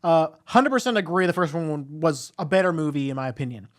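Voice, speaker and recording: A man's voice: fairly high pitch, animated, brisk. A man hosting a podcast, casually discussing films in a rambling way with frequent filler phrases and hesitations. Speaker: podcast host reviewing films.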